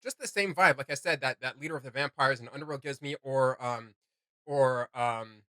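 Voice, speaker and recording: A young man co-hosting a reaction video in casual, excited chat, halting and informal as he searches for words. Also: medium pitch, no room sound, clean studio sound.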